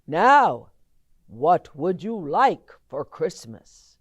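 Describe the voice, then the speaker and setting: Woman putting on a loud, grand old Santa. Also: lowish voice, big pitch swings, very slow, slightly rough, dry recording, full character voice. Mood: jolly, grand. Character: jolly, booming old Santa Claus.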